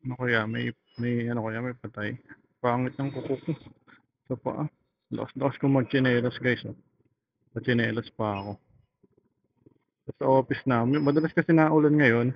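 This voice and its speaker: A young Filipino man talks in an ordinary mid-range voice, rambling casually to his viewers as he rides. His speech is slangy, as if thinking out loud. The helmet intercom makes the sound slightly dull, with light road noise underneath. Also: medium pitch, fairly even intonation, normal speed, vocal fry, long pauses. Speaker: motorcycle vlogger. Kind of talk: casual motovlog chat while riding. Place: outdoors on a motorcycle in traffic. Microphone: helmet intercom microphone.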